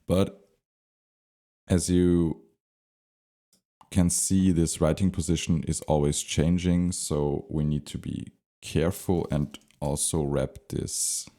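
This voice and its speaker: Very deep, creaky-voiced man explaining slowly. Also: steady tone, mellow. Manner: hesitant, thinking aloud while explaining. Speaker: tutorial presenter. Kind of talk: unscripted step-by-step software tutorial.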